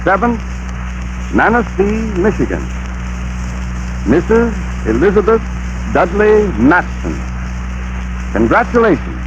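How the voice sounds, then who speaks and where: A man announcing sponsor winners on a 1930s radio show, with a crisp, formal announcer delivery on a muffled old broadcast with hiss. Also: high-pitched, big pitch swings, slow, long pauses.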